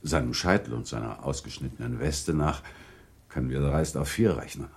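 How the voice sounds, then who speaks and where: A man playing a grave, courteous gentleman in a radio drama, speaking in a measured, formal and resigned manner. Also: very deep voice, gravelly, breathy edge, heavily acted. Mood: dryly urbane. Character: grave, courteous gentleman, confidant and second in a duel. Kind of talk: German radio drama acting.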